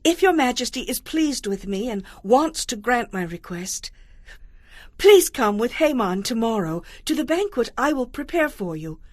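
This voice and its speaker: A young Persian queen in an audio Bible drama. She is regal and earnest, with a dramatic, formal delivery and a fairly high voice that moves widely in pitch. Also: big pitch swings, normal speed, no room sound, studio quality. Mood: gracious, pleading.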